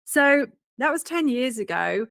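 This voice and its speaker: A woman's voice: high-pitched, very animated, measured pace, vocal fry, a bit bright, no room sound, clean studio sound. A British woman from the UK in her forties, a professional organizer, chatting as a guest in an interview with a self-deprecating, storytelling manner. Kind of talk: conversational interview.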